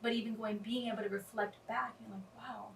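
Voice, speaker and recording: A woman co-hosting a podcast, speaking softly and agreeing with encouragement. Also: fairly high pitch, fairly even intonation, fairly quick, vocal fry, distant mic. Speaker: podcast co-host. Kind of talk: casual interview. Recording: echoey room, off-mic, faint noise.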